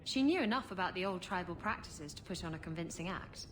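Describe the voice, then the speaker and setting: Playful, knowing fantasy adventuress. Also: female voice, on the low side, animated, a little fast, fairly bright tone, a little hiss or hum. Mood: wry, matter-of-fact. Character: frank, teasing, bawdy worldly woman adventurer. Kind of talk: dramatic video game dialogue.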